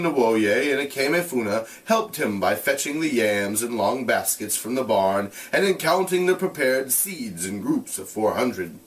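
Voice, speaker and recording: A man reads a story aloud as a teacher would, at a medium pitch. His intonation is very animated, and he sits a little away from the mic. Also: recorded from a distance, low-quality recording. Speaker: teacher reading a novel aloud. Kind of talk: read-aloud narration with casual explanatory asides.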